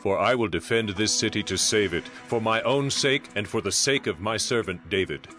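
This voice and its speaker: Deep, stern prophet over music. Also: male voice, moderate pace, pushed and bright. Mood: solemn proclamation. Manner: declamatory, solemn. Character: stern male prophet proclaiming God's judgment with authority. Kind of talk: dramatized scripture reading.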